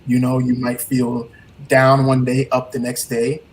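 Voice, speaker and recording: A man's voice: medium pitch, monotone, measured pace, slight background noise, poor audio. Trinidadian man coaching earnestly. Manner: earnest, motivational, repeats key phrases. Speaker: guest, heartbreak coach. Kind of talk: casual interview talk.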